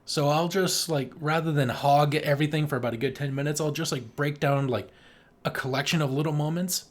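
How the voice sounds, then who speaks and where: A man with a slightly high-pitched voice co-hosts a casual film podcast. He talks in loose, rambling runs, with a joking tone and some swearing. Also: steady tone, a little fast. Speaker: podcast co-host.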